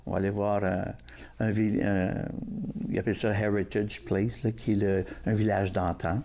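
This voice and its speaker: A man from Northern Ontario, a guest on a TV talk show describing group trips in a halting way with many fillers. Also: lowish voice, flat delivery, warm tone, a little hiss or hum. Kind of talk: casual TV talk.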